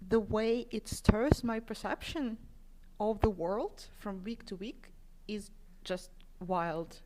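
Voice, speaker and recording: A woman listener leaving a heartfelt, earnest and halting personal voice message. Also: mid-range voice. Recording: faint noise and clicks.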